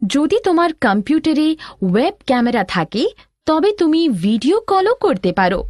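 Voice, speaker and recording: A woman voicing an instructive elder sister in an animated lesson, giving deliberate, scripted step-by-step tutorial narration. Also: high voice, dry recording, clean studio sound.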